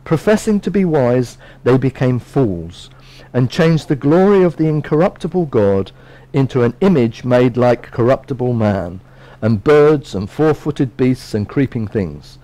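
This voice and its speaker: A middle-aged man giving a sermon from a lectern in a small bright room, earnestly explaining and reading scripture verses aloud. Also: medium pitch, animated, low-quality recording. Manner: measured, earnest, reads verses aloud from a book. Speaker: preacher.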